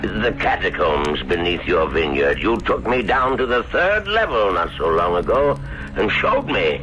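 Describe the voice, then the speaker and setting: A man's voice: low-pitched, very animated, a little slow, pushed and bright, faint music behind, heavily acted. An actor playing a sly, lecherous older creditor in a radio drama, wheedling and drawing out his insinuations. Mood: suspicious. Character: sly, lecherous, domineering older male wine-loving creditor. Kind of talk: 1970s radio drama acting. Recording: dull vintage radio recording.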